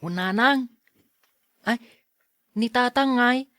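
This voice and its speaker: A woman's voice: a little high, slow, fairly bright tone, no echo. A woman playing a timid, polite schoolboy in an audio drama, speaking hesitantly and bashfully.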